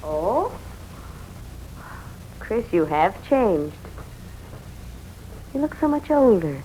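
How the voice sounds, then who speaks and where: A woman's voice: medium pitch, sing-song, very slow, dark tone, a little hiss or hum, low-quality recording. An actress playing a principled career woman meeting an old love in a 1940s radio drama, on an old recording. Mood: friendly, curious.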